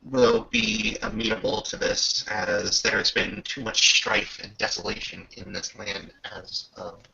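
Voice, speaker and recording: Gravelly, bright-voiced man running a game. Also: on the low side, steady tone, a little hiss or hum. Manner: halting, pausing often mid-sentence. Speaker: game master. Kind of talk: improvised narration of a tabletop game. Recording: dull lo-fi home microphone.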